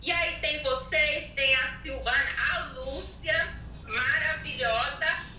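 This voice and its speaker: A woman from Alagoas in Brazil, possibly, speaking slowly and haltingly with many pauses as a guest in a casual interview. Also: high voice, sharp tone, slight background noise. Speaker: network marketing participant, guest. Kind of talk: hesitant conversational testimony. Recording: echoey distant mic with faint ambience.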